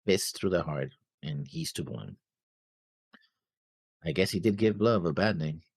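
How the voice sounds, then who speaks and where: A man's voice: low-pitched, lively intonation, a little slow, gravelly, husky, no room sound. A man co-hosting a reaction show in casual, laid-back talk, often chiming in briefly to agree. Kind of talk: casual discussion.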